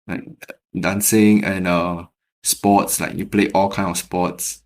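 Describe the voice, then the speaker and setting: Singaporean English male host, lowish voice, chatty and easygoing, laughs often. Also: slow. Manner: relaxed, chatty, laughs easily, repeats words. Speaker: podcast host. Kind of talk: casual interview chat.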